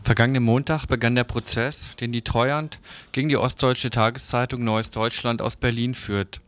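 A man reading scripted radio news previews as a presenter, in a formal, measured manner. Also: mid-range voice, fairly even intonation, a bit bright.

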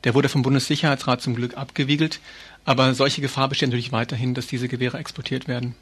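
A man's voice: medium pitch, little pitch movement, fast, fairly bright tone, breathy edge. A man being interviewed as an activist, explaining things earnestly and informally with hesitant phrasing.

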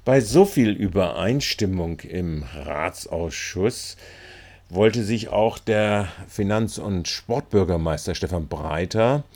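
A man with a fairly low voice gives local news commentary. His delivery is wry and colloquial, and he feels his way through longer sentences with some halting. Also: darkish tone. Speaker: reporter.

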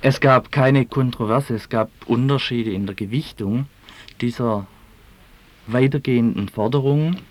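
A man with a medium-pitched voice answers as an interviewed activist. His delivery is deliberate and formal, broken by small pauses as he builds each point. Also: a little mellow. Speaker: environmental activist being interviewed.

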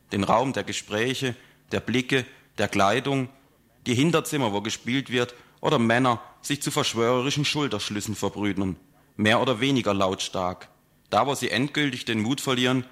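A man narrating a scripted radio report in a measured, emphatic way. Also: medium pitch, a bit bright.

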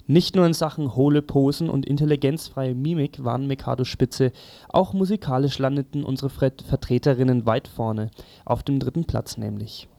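A man's voice: mid-range voice, moderate pace, vocal fry, dark tone, dry recording. A man presenting a scripted, satirical press review on the radio in a sardonic manner.

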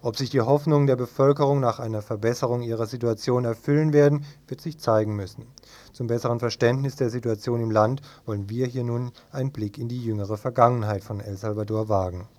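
A man presenting a radio news report, reading scripted narration in a measured, factual manner. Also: medium pitch, fairly even intonation, darkish tone.

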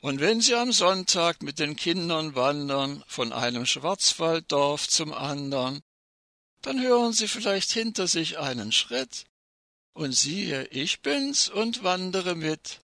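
A man's voice: fairly high pitch, big pitch swings, bright, forceful tone, no echo, studio quality, full character voice. Man performing a sly, swooping, sinister uninvited guest. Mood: creepily friendly. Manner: mock-polite, sly, deliberate, savouring each phrase. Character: personified nuclear residual risk, sinister, falsely courteous uninvited guest. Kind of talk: theatrical recitation of a rhymed satirical poem.

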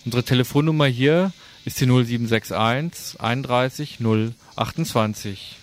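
A man's voice: medium pitch, normal speed. A man presenting live radio, announcing to listeners in an unscripted way.

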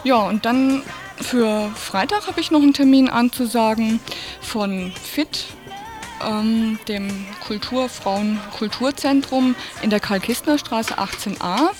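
A woman's voice: on the high side, slow, music in the background. A woman presenting a radio programme and reading out announcements in an informal way. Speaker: radio host.

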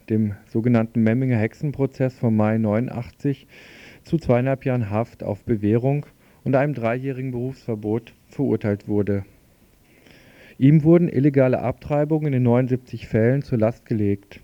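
A man's voice: mid-range voice, mellow, no room sound. A man presenting a radio news report as host and interviewer, on a slightly dull recording.

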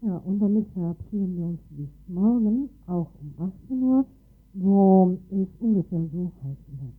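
A woman co-hosting a radio news show, making unscripted announcements in a halting way, searching for words. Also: mid-range voice, animated. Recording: lo-fi phone-like radio, music behind.

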